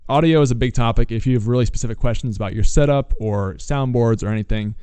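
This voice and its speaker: A man with a low voice hosting a podcast and giving listeners practical advice. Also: warm tone, smooth, no echo. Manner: advising, moderating questions. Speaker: podcast host. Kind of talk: casual podcast chat.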